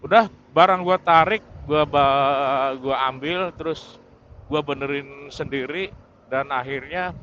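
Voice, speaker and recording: A man's voice: fairly high pitch, slow pace, a bit bright. A man chatting casually and hesitantly, rambling and repeating himself as he vlogs. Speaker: motovlogger reviewing his camera. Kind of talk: casual unscripted vlog chat. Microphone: wireless mic through helmet intercom. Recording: muffled intercom mic with clear vehicle noise. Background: vehicle and road noise.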